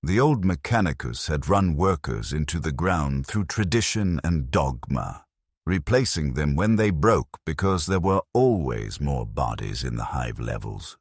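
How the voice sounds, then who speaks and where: A man narrating a scripted, atmospheric story in a somber, grave, gravelly voice. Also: a bass voice, animated, unhurried, no echo, clean studio sound.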